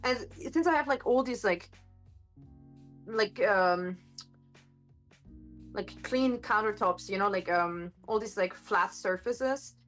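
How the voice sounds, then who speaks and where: A woman streamer chatting casually in a home kitchen, her voice a little gruff today, rambling with lots of fillers and self-corrections, picked up by a phone microphone. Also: medium pitch, takes it slowly, pauses often, a bit bright, faint music behind. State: slightly gruff voice. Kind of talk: casual live-stream chat. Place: home kitchen with hard countertops.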